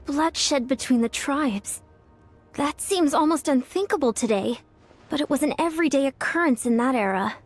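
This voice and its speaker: A woman voicing an upbeat, playful young woman in an anime-style video game, expressive and chatty. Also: high voice, moderate pace, soft background music. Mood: somber. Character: bubbly, upbeat young woman, eager and easily amused.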